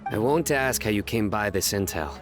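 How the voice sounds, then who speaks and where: A man voicing a stern, grave young male judge in a video game, speaking in a measured, clipped, formal way. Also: lowish voice, lively intonation, natural voice. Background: game music.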